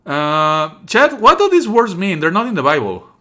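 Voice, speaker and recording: A man's voice: high voice, very animated, normal speed, bright, forceful tone. A man streaming a let's play, reading on-screen text aloud and adding sarcastic, exasperated asides. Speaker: streamer playing a visual novel.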